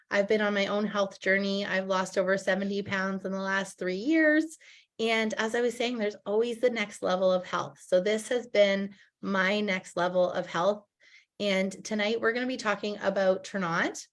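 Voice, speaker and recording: A woman in her thirties, slightly husky from a cold, hosting a casual online product presentation on a video call with many ums and restarts. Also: mid-range voice, a bit bright. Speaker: host, direct sales presenter.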